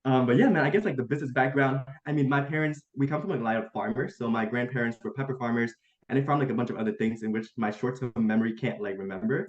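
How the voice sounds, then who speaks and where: A young man from Vietnam talks quickly and keeps things friendly and upbeat, often calling his host "man." His voice comes through a dull, muffled video call line that carries a fair bit of room echo. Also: mid-range voice. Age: young adult. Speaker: guest, college student. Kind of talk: casual interview chat.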